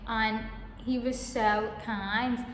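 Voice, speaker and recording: A woman's voice: a little high, steady tone, very slow, faint music behind. Chatty woman, late 20s, vlogging in an echoey room with long reverb. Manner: chatty, frequent ums and restarts. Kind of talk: personal storytelling to camera.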